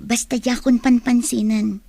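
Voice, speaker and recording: A woman's voice: fairly high pitch, level tone, a little slow, vocal fry, warm tone, dry recording. A woman with an Ilocano Filipino accent playing a pensive, lovelorn young student in a radio drama. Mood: torn, resigned.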